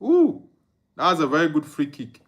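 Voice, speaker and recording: A man's voice: fairly high pitch, huge pitch swings, normal speed. A young man from Nigeria hosting a live football watchalong, chatting casually, reading viewer comments aloud and humming along. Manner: reads viewer comments aloud, chuckles, hums and sings under his breath. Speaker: watchalong streamer.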